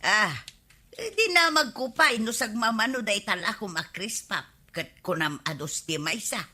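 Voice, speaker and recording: A forceful, rough-edged woman's voice plays a stubborn village customer out for a bargain. It leaps around in pitch with insistent, grumbling, tight-fisted temper, suited to a comedy radio drama. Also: expressive, a little slow. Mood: stubborn haggling.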